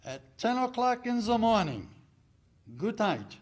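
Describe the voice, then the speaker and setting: A stern, menacing army major acted by a man in a 1940s radio play. The voice is high for a man, clipped, commanding and coldly polite. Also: very animated, unhurried, full character voice. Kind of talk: 1940s radio theater acting.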